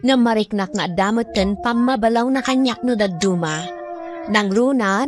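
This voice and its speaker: A woman's voice: mid-range voice, natural voice. An actress playing a burdened woman who works abroad to support her family, confiding in a radio drama monologue.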